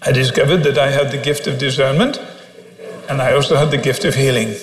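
A man's voice: mid-range voice, fairly even intonation, brisk, slight background noise. Man, preacher, dry humor, echoing hall. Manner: humorous, self-deprecating. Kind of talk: storytelling sermon. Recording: long hall reverb.

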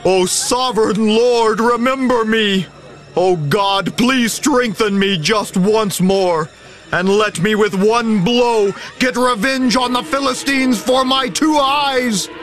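A man's voice: high-pitched, takes it slowly, a bit bright, faint music behind. A man playing Samson, a mighty biblical strongman, solemn and defiant, in an earnest, declamatory radio drama style. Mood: anguished pleading.

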